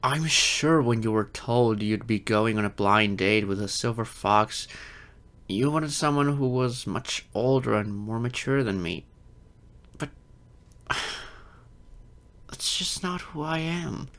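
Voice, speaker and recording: A man's voice: medium pitch, animated, slow pace, pauses often, a bit bright. A man playing an insecure, geeky adult silver fox in an audio roleplay, hesitant, stammering and self-deprecating. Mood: dejected, apologetic. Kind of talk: ASMR-style audio roleplay acting.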